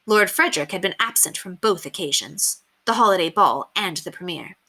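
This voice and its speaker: A woman reading a children's book aloud as an expressive, emphatic storyteller. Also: on the low side, moderate pace. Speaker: read-aloud reader.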